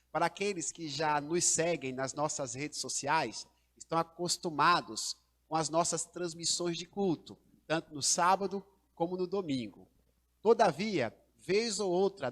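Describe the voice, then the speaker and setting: A man's voice: a little high, fairly bright tone. A man with a Brazilian Portuguese accent, a pastor hosting a church livestream, speaking formally with rising emphasis. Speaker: pastor and host.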